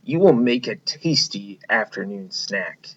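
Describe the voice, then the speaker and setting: A man's voice: fairly low pitch, expressive, unhurried, a bit bright, heavily acted. A man voicing a proud, boastful male lion, king of the jungle, in a storybook read-aloud. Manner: boastful, mocking. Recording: dull lo-fi recording.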